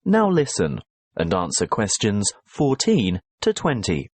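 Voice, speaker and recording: A man reading scripted listening test instructions as a narrator, in a formal, measured announcing manner. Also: lowish voice, huge pitch swings, breathy edge, no echo.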